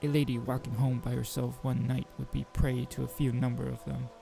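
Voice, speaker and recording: A man with a gravelly voice reading a visual novel aloud as a game player, mixing narration with informal joking asides. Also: medium pitch, little pitch movement, brisk, dark tone, soft background music. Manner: informal, joking commentary between read passages.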